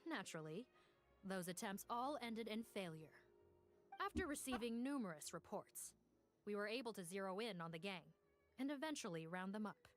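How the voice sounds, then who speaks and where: A woman playing a composed police officer in a video game, speaking in a formal, measured, matter-of-fact way. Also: medium pitch, lively intonation, soft background music, lightly acted. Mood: firm. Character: composed female police officer reporting on a case. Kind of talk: scripted video game dialogue.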